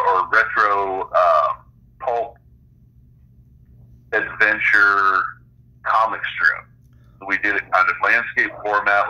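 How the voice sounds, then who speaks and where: A middle-aged man chatting casually as an interview guest about his creative work, in a halting way with searching pauses. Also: fairly low pitch, bright, forceful tone, highs cut off. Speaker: interview guest, author and game designer.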